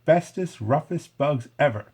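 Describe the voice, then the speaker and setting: A man's voice: fairly high pitch, animated, unhurried, darkish tone, slightly rough, voice pushed up, heavily acted. A man voicing a loyal, spirited little girl ant in a storybook, childlike and emphatic. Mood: defiant.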